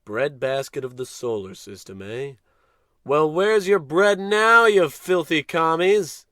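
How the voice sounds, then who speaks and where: A pompous dimwit space captain, kinda high voice, bossy and blustery, old radio comedy style. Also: male voice, takes it slowly, sharp tone, no echo, clean studio sound. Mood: self-important. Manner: pompous, commanding, self-important.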